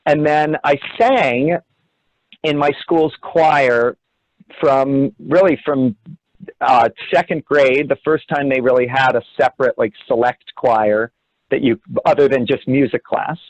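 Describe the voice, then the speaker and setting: A man's voice: medium pitch, a little slow. A young man from the Cincinnati area, a guest in an interview, telling his story in a reflective, rambling way and correcting himself as he goes. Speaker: guest, orchestra assistant conductor. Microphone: video call. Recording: dull, dry video-call audio.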